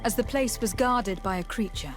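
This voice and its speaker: A woman's voice: a little high, moderate pace, lightly acted. An actress playing a composed, diplomatic noblewoman envoy in a fantasy video game. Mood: measured, explanatory. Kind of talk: scripted fantasy game dialogue. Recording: game music behind the voice. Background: game music.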